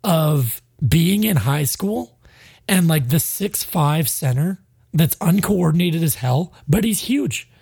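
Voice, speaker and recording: A man's voice: fairly high pitch, lively intonation, takes it slowly, vocal fry, darkish tone, breathy edge, no echo, clean studio sound. A man co-hosting a casual sports podcast, ranting bluntly and with frustration, swearing freely and sounding a bit stuffy. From Canada. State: stuffy, sinusy. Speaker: podcast co-host.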